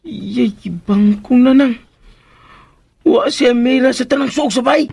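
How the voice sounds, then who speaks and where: Husky, fussy Filipino male helper. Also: very high voice, a little slow, darkish tone, no echo, full character voice. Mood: alarmed. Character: excitable, fussy household helper named Bitoy. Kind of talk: Filipino radio drama acting.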